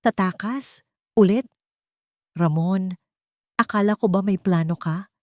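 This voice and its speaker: A woman narrator voicing a cold, sharp-tongued, incredulous woman challenging her partner in an audio story. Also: medium pitch, slow, no room sound, studio quality, close to an everyday voice.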